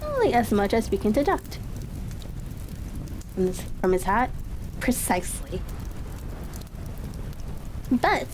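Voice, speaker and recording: A woman giving a calm ASMR reading of a classic story, with halting, unhurried phrasing and a soft crackling ambience behind her. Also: a little high. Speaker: audiobook reader. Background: fireplace crackle and ambient noise.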